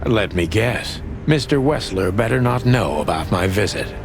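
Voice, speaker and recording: A man playing a world-weary ageing rooster detective, wry and deadpan, narrating a noir game. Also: on the low side, normal speed. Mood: wry, courteous. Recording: music behind the voice. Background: music.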